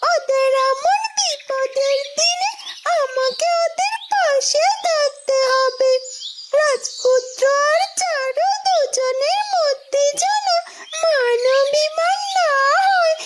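Tiny squeaky, fussing golden bird. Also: female voice, takes it slowly. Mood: anxious, determined. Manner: chirpy, fussing, repeats itself. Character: small loyal golden bird, caring and anxious messenger. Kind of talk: cartoon fairy-tale acting. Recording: loud music behind.

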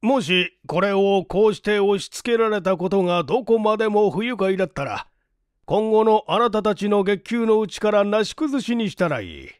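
A man voicing a genial, dignified older gentleman with a measured, courteous manner in a story reading. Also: high voice, lively intonation, smooth, no room sound, studio quality, pitched above the performer's own voice. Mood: warm, grateful.

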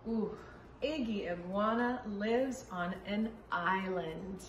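A middle-aged woman preschool teacher reading and teaching to young children in a clearly enunciated, sing-song way, recorded in a room from a few feet away. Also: medium pitch, slow pace, reverberant, dull audio, a little hiss or hum. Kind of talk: children's story reading and letter lesson. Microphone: camera a few feet away.

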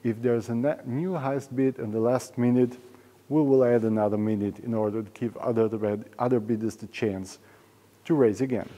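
A man's voice: medium pitch, fairly even intonation, normal speed, dark tone. Man presenting in a reverberant hall. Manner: enthusiastic, informal, non-native English phrasing. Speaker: auction house presenter. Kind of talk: semi-scripted promotional explainer to camera. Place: large indoor auction hall and storage room.

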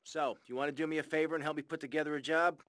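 An actor playing a bossy, sarcastic crime boss in a video game, curt and commanding. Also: male voice, a little high, steady tone, rapid-fire, background noise, natural voice. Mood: sardonic. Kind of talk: video game cutscene acting.

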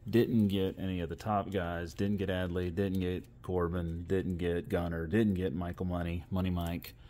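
Low-pitched man, casual hobby host. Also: little pitch movement, normal speed, dark tone, a little hiss or hum. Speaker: host of a card-opening channel. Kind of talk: casual commentary while opening trading cards.

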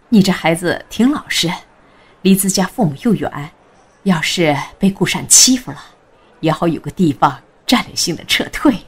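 A woman playing a kindly, protective middle-aged mother in an audio drama, speaking in a husky, coaxing, motherly way. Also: lowish voice, animated, a little slow. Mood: welcoming. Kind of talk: Mandarin audio drama acting.

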